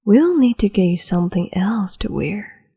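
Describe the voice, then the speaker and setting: A woman's voice: mid-range voice, expressive, normal speed, warm tone, full character voice. A woman playing a cruel, capricious empress in an ASMR roleplay, talking in a teasing, condescending, doting way and often whispering. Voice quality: breathy, frequent whispering. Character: cruel capricious female empress, young adult.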